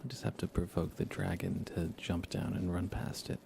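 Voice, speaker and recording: A man with a low-pitched, creaky voice gives hushed ASMR commentary while streaming a game. He speaks softly and sometimes drops toward a whisper. There is clear noise behind his voice. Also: flat delivery, moderate pace. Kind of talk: soft-spoken ASMR live game commentary.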